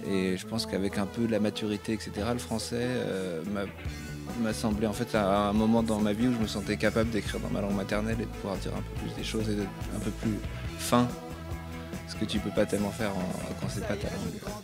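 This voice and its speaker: A man's voice: on the low side, flat delivery, fairly quick, over loud music. A man, a singer-songwriter, answering interview questions about his album in a casual, hesitant way, with many fillers and restarts.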